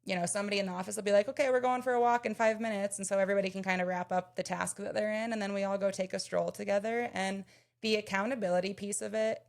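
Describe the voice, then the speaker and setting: A woman's voice: mid-range voice, fairly even intonation, fast, vocal fry. A woman in her thirties, a podcast co-host, chatting casually and earnestly, explaining her points with everyday examples. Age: 30s. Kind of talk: casual chat.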